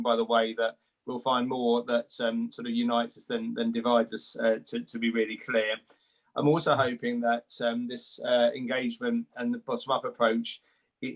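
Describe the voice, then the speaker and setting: A man talks off the cuff as a panellist in a mid-pitched voice. His intonation stays quite flat, and he proceeds haltingly, repeating words as he works out each thought. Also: rough recording. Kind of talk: unscripted panel remarks.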